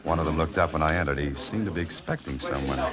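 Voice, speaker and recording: A man's voice: extremely low pitch, fast talker, over a noisy crowd. A man with an American accent acting a wary, probing investigator who narrates a 1940s-style radio mystery. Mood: brooding, watchful. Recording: old radio broadcast with music behind.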